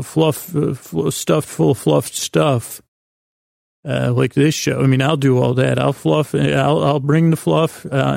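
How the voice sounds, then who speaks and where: Creaky-voiced guy doing a sleepy bedtime podcast, super mellow, trips over his words and wanders off on silly tangents. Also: medium pitch, dry recording, studio quality. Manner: meandering, halting, digressive, stammering, whimsical; lulling, soothing tones. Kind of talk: rambling sleep-aid monologue, bedtime story intro.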